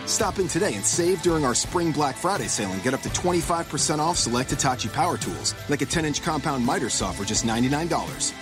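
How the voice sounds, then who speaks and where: Male ad read, upbeat and confident, slightly high, music underneath. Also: animated, fast talker, few pauses. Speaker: ad voice-over. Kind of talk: scripted radio commercial.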